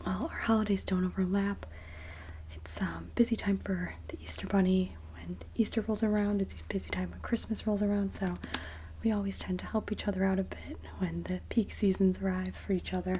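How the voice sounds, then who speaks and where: A woman speaking softly and breathily, often near a whisper, in a gentle, polite ASMR roleplay as a kindly Mrs. Claus. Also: medium pitch, level tone, a little fast, slight background noise. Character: kindly Mrs. Claus interviewing a job applicant. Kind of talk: soft-spoken ASMR roleplay interview.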